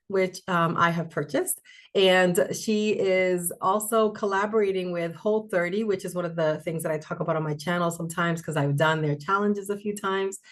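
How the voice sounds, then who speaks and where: A woman wellness coach hosting an interview, chatting in a friendly way with some fillers. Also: medium pitch. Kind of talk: casual interview.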